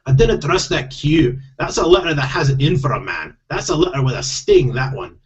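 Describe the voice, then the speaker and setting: A gruff, angry little Scottish pixie warrior acted out by a male reader, stubborn and full of grumbles. The storybook voice growls its protests, lifted above a man's ordinary talk, in a slightly dull recording. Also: fairly high pitch, sing-song, brisk, voice pushed up. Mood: grumbling suspicion.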